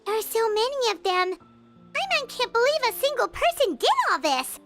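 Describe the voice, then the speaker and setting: A tiny floating fairy girl from a video game, chatty and excitable, with a squeaky voice that leaps all over the scale. Clear game music runs underneath her lines. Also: moderate pace, heavily acted. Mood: wondering. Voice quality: high, squeaky and bouncy. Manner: talks about herself in the third person. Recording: game audio with music behind.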